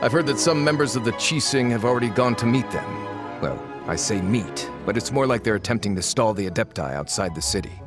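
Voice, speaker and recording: An actor playing a wary, sardonic faction agent in a video game, formal and slightly scornful. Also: male voice, medium pitch, lively intonation, fairly quick, lightly acted. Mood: wary, urgent. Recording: music behind the voice. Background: game music.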